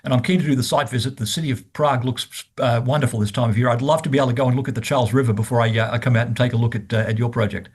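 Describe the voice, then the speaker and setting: Man, from Australia, interview host, quick, a bit husky, halting and repetitive. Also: mid-range voice, fairly even intonation. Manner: halting, repeats words. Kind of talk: business interview questions.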